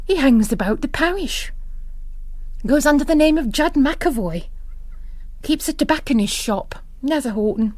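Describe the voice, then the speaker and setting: A woman's voice: high-pitched, animated, normal speed, a little mellow, no echo, voice pushed up. An ageing, faithless priest, as voiced by a woman reader, agitated and full of rhetorical questions. The voice is close and dry, worldly and weary, as in a radio book reading. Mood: conspiratorial, indignant. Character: agitated ageing male priest who lost his faith.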